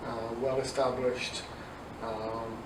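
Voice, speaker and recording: A man in his forties from Dublin with an Irish accent softened by English, answering interview questions conversationally as a youth ministry director. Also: medium pitch, level tone, takes it slowly. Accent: Irish mixed with English. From south Dublin, Ireland. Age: 40s. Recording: echoey, distant mic, noisy room.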